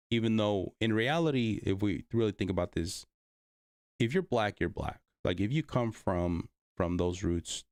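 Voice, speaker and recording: Low gravelly man, rambling livestream chat. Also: no echo, studio quality. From Bay Area, California. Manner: rambling, many fillers and restarts. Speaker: livestream host reading chat.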